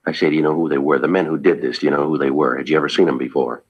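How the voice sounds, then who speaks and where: An actor playing a calm, businesslike police detective in an old radio drama, terse, polite and procedural. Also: male voice, a bass voice, racing, breathy edge. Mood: gentle, steady. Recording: dull, lo-fi vintage radio recording.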